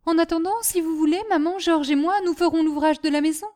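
A woman's voice: very high-pitched, steady tone, fast, a little mellow, voice pushed up. A woman voicing an earnest, helpful little girl, polite and eager, in a storybook.

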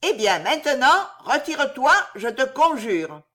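A woman doing a shrill, yelping old witch. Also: very animated, fairly bright tone, full character voice. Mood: bossy. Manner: bossy, chanting spells slowly. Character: very old half-blind mean female village witch. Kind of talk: audiobook reading.

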